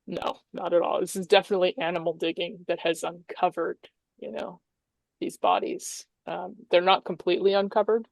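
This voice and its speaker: A woman's voice: fairly low pitch, fairly even intonation, moderate pace, creaky, no room sound. A woman game master improvising tabletop game narration in a conversational, explanatory way.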